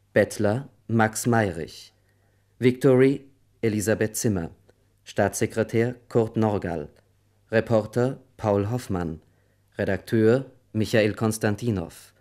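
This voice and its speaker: Formal radio announcer, male, lowish pitch, very deliberate pace, measured scripted list reading. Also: a little mellow.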